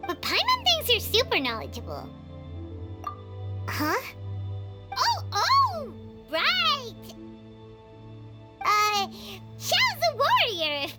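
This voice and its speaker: Squeaky, very high tiny fairy companion. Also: female voice, wildly swooping pitch, very slow, pauses often, exaggerated voice. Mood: flustered, earnest. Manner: speaks of self in third person, blurting. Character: tiny childlike floating fairy companion, chirpy and earnest. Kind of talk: anime game voice acting. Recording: music behind the voice.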